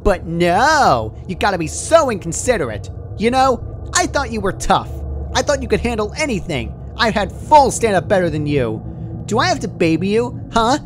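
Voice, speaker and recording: Man doing a high, perky, menacing girl pony. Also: big pitch swings, moderate pace. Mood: pouty, scolding. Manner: perky, scolding, sing-song matter-of-fact chatter. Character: cheerful, childishly chatty, menacing female pony. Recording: clearly noisy home recording.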